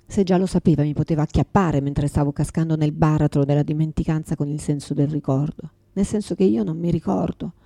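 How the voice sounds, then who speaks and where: Radio theatre monologue: exhausted, confused mother, raspy and creaky, fragmented delivery, bitter and pleading. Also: sits low, steady tone, brisk, few pauses, mellow, no room sound. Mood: bitter, rambling. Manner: fragmented, repetitive, swearing, swinging from whispers to outbursts.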